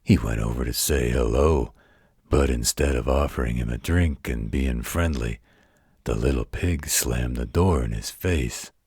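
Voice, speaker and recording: Gruff male werewolf guardian acted by a man, extremely low and raspy, tender folksy bedtime storyteller for an intimate audio roleplay. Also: no echo, clean studio sound, exaggerated voice. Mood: folksy storytelling. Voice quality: very deep, gravelly, husky. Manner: folksy, unhurried, gently wry.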